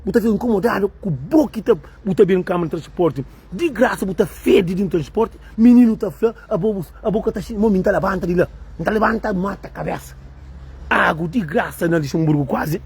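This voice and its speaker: A woman with a husky, breathy voice rants to her viewers in a rambling way. She keeps circling back to the same words, talking straight at the camera like a video creator. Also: mid-range voice, brisk, a little mellow. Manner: rambling and repetitive. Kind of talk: ranting monologue to viewers.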